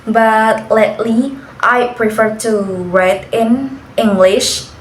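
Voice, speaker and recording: A young woman whose first language is Indonesian, speaking English in a relaxed pair interview, hesitant and searching for words, often laughing lightly. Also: a little high, slow pace. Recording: echoey room, faint background noise.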